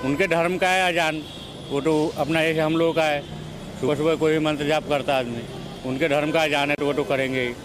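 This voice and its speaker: Clear street and traffic noise surrounds a man with a fairly high voice. He answers off the cuff as an interviewed passer-by, repeating his point insistently. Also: steady tone, a little fast. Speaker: interviewed member of the public. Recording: noisy outdoor street with traffic.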